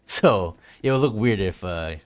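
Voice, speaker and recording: A man's voice: deep voice, animated, measured pace. A man giving casual livestream commentary on a game, talking hesitantly with lots of filler words.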